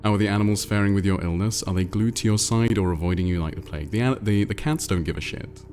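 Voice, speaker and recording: A man with a nasal, congested voice from a cold, chatting casually on a livestream close to the mic and reading viewer messages aloud in a relaxed, rambling way. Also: lowish voice, fast talker, soft background music. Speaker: livestream host.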